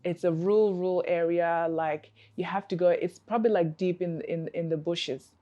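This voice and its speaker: Low-voiced earnest woman, reflective coach. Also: fairly even intonation, moderate pace, highs cut off. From Africa. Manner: reflective, earnest, many fillers and restarts. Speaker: coach, interview guest. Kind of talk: conversational interview answers.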